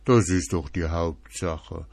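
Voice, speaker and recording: Man voicing a bear, low grumble, gravelly, slow, sweet-toothed. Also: darkish tone, dry recording, heavily acted. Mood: grumbling. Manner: grumbling, rumbling.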